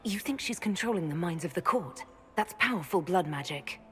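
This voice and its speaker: An actress playing a composed female leader in a video game, speaking in an even, polite, inquiring way. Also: fairly low pitch, normal speed. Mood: serious. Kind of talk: video game dialogue acting. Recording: clear music behind the voice.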